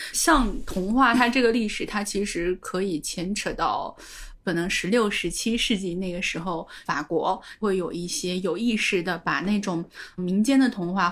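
A woman co-hosting a talk show, explaining stories and history in a conversational, orderly way. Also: mid-range voice. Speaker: podcast co-host.

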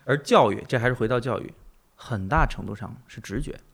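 A man's voice: mid-range voice, fairly quick, vocal fry, breathy edge. A man hosting a podcast, musing philosophically in conversation, emphatic, with repetition and rhetorical questions. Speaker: podcast host.

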